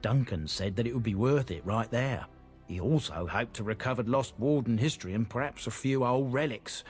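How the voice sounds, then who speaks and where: A man's voice: mid-range voice, normal speed, lightly acted. Folksy, earnest fantasy merchant, played by a man, music behind. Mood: earnest, proud. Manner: folksy, chatty, inverted phrasing. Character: male merchant of a proud disgraced family, earnest, chatty. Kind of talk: fantasy video game dialogue. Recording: game audio with clear music behind. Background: game music.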